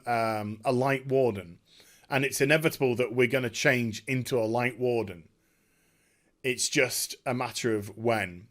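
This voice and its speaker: A man hosting a gaming video, giving a casual, unscripted recap in a halting, digressive way and often correcting himself. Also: mid-range voice, fairly bright tone.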